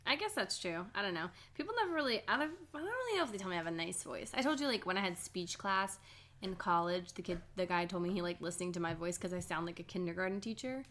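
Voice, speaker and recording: A woman's voice: lowish voice, animated, fast talker, a bit bright. A young woman co-hosting a casual chat podcast with her sister, talking loosely and laughing often. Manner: chatty, laughs often, lots of "like". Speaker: podcast co-host.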